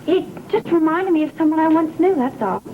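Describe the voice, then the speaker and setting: Nervous young gothic-soap woman: higher pitched, stammering, evasive, dull noisy vintage sound. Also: lively intonation. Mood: flustered. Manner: halting, stammering. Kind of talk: gothic TV soap opera acting. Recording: muffled, noisy old TV soundtrack.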